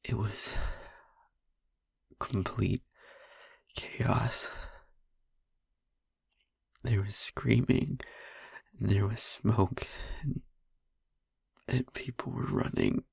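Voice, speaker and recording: A man playing a traumatised young vampire boyfriend in an intimate audio roleplay, speaking in a breathy hush with halting pauses. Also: fairly low pitch, dry recording, studio quality, exaggerated voice. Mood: anguished. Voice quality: breathy, whispery. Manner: halting, hushed, pausing mid-sentence.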